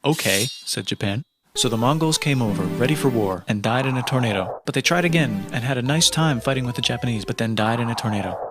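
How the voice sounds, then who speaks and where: A man delivering scripted comedic history narration in deadpan, punchy short phrases. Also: mid-range voice, quick pace, over music.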